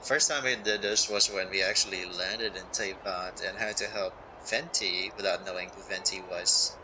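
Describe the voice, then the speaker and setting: Man, lowish voice, casual game streamer, offhand muttered asides, clear background noise. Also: steady tone, normal speed. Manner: short offhand reactions, mumbled asides. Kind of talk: casual livestream commentary over a game.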